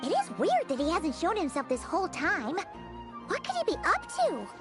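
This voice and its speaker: Tiny game fairy sidekick: squeaky-high, cute, chatty, very animated delivery. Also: female voice, normal speed, music in the background, exaggerated voice. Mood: pondering. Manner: perky, chatty, refers to self in third person. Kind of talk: animated video game voice acting.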